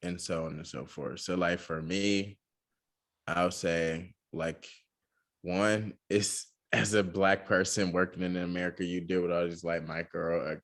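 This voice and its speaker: A young man co-hosting a podcast, talking casually with lots of fillers and a monotone delivery. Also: deep voice, measured pace. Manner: relaxed, many fillers, says he talks monotone. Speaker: podcast co-host.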